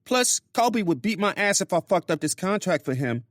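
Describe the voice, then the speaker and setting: A man voicing a young Puerto Rican woman from New York, a sharp-tongued house cleaner with a New York accent touched with Spanish, in a storybook reading. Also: sits high, sing-song, a little fast, dry recording, clean studio sound, voice pushed up. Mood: griping. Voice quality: attitude-laden, sharp-tongued.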